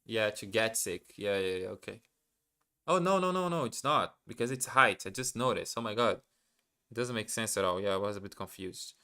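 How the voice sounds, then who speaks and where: A man's voice: lowish voice, expressive, moderate pace, sharp tone, smooth. A man whose first language is Portuguese, thinking aloud as he studies a language live, hesitant and correcting himself as he goes. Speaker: language learner streaming live. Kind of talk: thinking aloud while studying.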